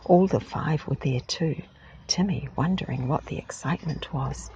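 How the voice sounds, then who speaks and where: A woman softly whispering a storybook aloud for relaxation, close to the mic. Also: low voice, dull audio, a little hiss or hum. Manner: soft, whispered, unhurried. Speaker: ASMR reader. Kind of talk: whispered ASMR storybook reading.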